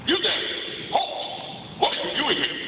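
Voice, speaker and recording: An actor playing an officious, excitable Imperial trooper, barking urgently in a live stage audio drama. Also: male voice, unhurried, close to an everyday voice. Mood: suspicious, commanding. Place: very large arena stage. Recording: echoey arena, distant, tinny, noisy.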